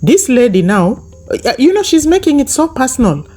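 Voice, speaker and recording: A woman for whom English is a second language delivers a YouTube commentary monologue. Her voice sits warm and mellow, with soft music in the background. She drives her points home by repeating them. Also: high-pitched, very animated, normal speed. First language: not English. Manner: emphatic, repetitive, speaks straight to viewers.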